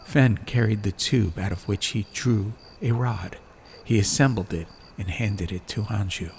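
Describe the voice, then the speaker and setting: Male audiobook narrator, lowish pitch, measured, dramatic storytelling, faint fantasy ambience behind. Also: vocal fry, darkish tone, slight background noise. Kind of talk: atmospheric scripted story narration. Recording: ambient sound effects behind.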